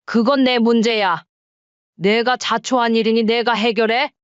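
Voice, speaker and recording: A woman's voice: fairly high pitch, fairly even intonation, a little slow, clear, resonant tone, no room sound, muffled recording, natural voice. A woman voicing a resolute middle-aged mother-in-law who speaks firmly and bluntly. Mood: cold. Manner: firm, blunt.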